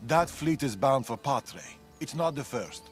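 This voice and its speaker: A hunted man of ancient Greece, played by an actor, talks in a grave, guarded way, as one who trusts no place. His mid-range voice is deliberate and world-weary. It is video game dialogue with music playing behind it. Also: medium pitch, animated, lightly acted. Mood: grave, wary. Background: background music.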